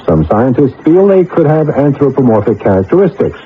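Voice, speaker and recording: A man's voice: medium pitch, big pitch swings, a little fast, close to an everyday voice. An actor playing a hesitant, stammering male computer scientist who explains things apologetically in a radio drama. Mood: earnest. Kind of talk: 1980 radio drama acting. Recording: lo-fi old radio broadcast, faint noise.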